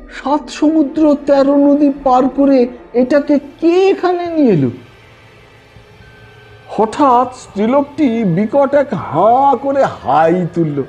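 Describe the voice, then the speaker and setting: Slow, high-pitched male storyteller over music. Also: mellow. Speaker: storyteller narrating a classic ghost story. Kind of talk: dramatic scripted audiobook narration. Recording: dull lo-fi voice track over music. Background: background music.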